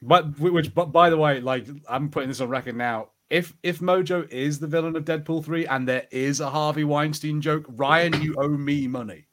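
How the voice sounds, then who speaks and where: A man's voice: a little high, fairly even intonation, moderate pace, few pauses, a bit bright. A man co-hosting a podcast, talking in casual, unscripted chat. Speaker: podcast co-host.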